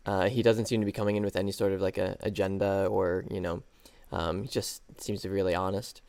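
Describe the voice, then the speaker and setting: A man's voice: low-pitched, level tone, brisk, creaky, a little mellow. A man co-hosting a podcast, explaining a video in an easygoing, casual way. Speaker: podcast co-host.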